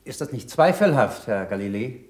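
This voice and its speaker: Man acting a mild bookish scholar, average pitch, measured and thoughtful, radio play. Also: very animated, a little mellow, natural voice. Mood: doubting.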